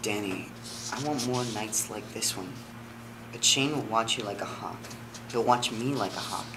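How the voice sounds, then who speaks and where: A man's voice: on the low side, moderate pace, natural voice. A man playing an earnest, principled, wounded young lover in a dramatic script reading. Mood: pleading, sad. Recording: clearly noisy room.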